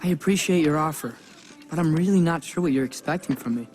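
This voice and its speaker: A young actor playing an earnest, guarded teenage half-giant in a video game, hesitant yet insistent. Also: male voice, fairly high pitch, fast, darkish tone, lightly acted. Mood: wary, earnest. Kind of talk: naturalistic video game cutscene acting. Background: background music.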